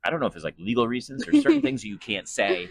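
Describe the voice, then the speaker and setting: A man's voice: medium pitch, huge pitch swings, fast talker, creaky, dry recording. A man giving casual interview answers in a hesitant, rambling, conversational way. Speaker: sports PA announcer and voice actor being interviewed.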